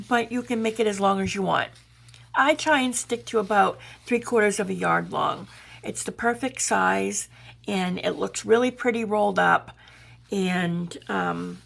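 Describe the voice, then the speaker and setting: A woman from Georgia, hoarse and raspy from pollen, chatting her way through a crafting tutorial as a channel host. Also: mid-range voice. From Georgia, USA. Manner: chatty, meandering, explaining while crafting.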